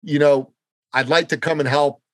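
A man's voice: fairly high pitch, fairly even intonation, moderate pace, a bit bright, no room sound, clean studio sound. A man from Canada hosting a political podcast, speaking with passion and repeating phrases for emphasis. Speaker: podcast host. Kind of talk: impassioned political podcast talk.

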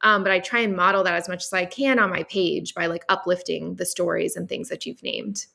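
Woman, guest, bright voice, earnest casual talk, many fillers. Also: fairly low pitch, a little fast, creaky. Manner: earnest, frequent "like", animated. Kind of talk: casual conversation.

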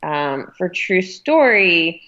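A woman's voice: on the low side, big pitch swings, extremely slow, fairly bright tone, lo-fi sound. A woman speaking as a magazine editor in a conversational interview, explaining things thoughtfully.

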